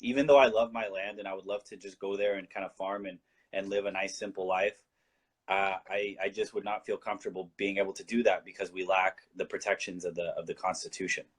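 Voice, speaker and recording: Husky low-voiced man, earnest guest. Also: little pitch movement, a little fast. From Colombia. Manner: earnest, explanatory, with frequent restarts. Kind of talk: casual talk-show discussion.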